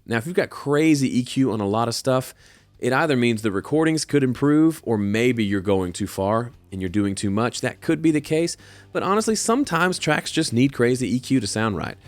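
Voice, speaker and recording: A man's voice: mid-range voice, normal speed, no echo. A man with a slightly nasal voice, hosting a show and casually answering listener questions from his home studio. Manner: relaxed, conversational, with fillers and restarts. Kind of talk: casual advice talk.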